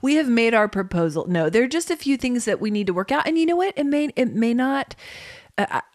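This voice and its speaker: A woman's voice: a little high, very animated, fairly quick, darkish tone, no room sound, clean studio sound. A woman co-hosting a podcast in casual, lighthearted, bantering chat. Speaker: podcast co-host.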